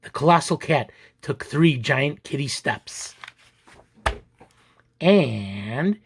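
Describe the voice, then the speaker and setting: A man reading a children's picture book aloud as an expressive, deliberate, theatrical storyteller. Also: medium pitch, slight background noise. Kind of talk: expressive dramatic read-aloud narration.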